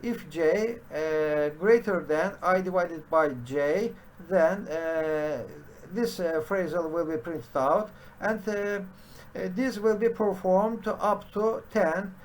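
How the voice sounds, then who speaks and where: Brief: middle-aged man, very slow tutorial delivery, slightly high voice, constant fillers. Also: a little hiss or hum. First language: Turkish. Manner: halting, many "uh" fillers. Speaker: programming teacher. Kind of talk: screen-share coding tutorial. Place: home study with bookshelves.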